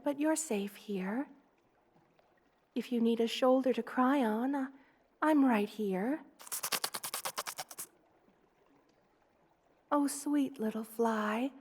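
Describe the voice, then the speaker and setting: A woman voicing a gentle, doting spider queen in an intimate ASMR roleplay, soothing and tender in manner. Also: fairly high pitch, slightly rough. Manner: soothing, tender, coaxing, with slow careful delivery.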